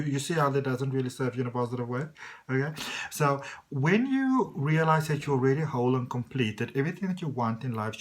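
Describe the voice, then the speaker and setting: A person in their thirties giving a reassuring, earnest motivational talk as a spiritual coach, often saying "you know" and "okay". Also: mid-range voice. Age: 30s.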